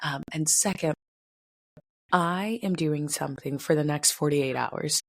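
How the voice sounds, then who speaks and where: A young adult woman hosting a casual wellness podcast. She has a stuffy, congested, slightly raspy voice and talks to the listener in a chatty, upbeat way, like a best friend. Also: deep voice, measured pace. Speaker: podcast host.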